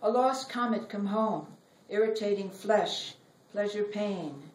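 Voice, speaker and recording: A middle-aged woman giving a live poetry reading in measured, deliberate phrases with dramatic pauses. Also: mid-range voice, darkish tone. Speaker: poet reading own work. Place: library reading room. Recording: roomy, noisy recording, distant from mic.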